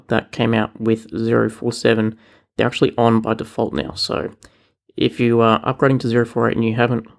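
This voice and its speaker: A male podcast host with a gravelly, fry-tinged voice at an ordinary pitch. He talks about software updates in a relaxed, chatty way, full of small fillers and restarts. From New Zealand. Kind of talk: casual tech podcast chat.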